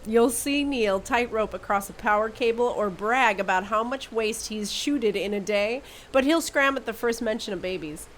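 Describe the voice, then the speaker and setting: A woman's voice: fairly high pitch, normal speed, hardly any pauses, a little hiss or hum, lightly acted, rough recording. A woman playing a sly, scheming crew survivor with a sarcastic, wry manner.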